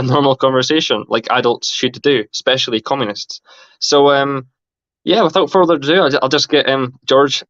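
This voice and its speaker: A man from northern Scotland with a light Scottish accent, hosting a casual livestream chat and talking haltingly with many fillers and restarts. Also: mid-range voice, a bit bright, dry recording. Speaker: host. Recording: dull, muffled livestream audio.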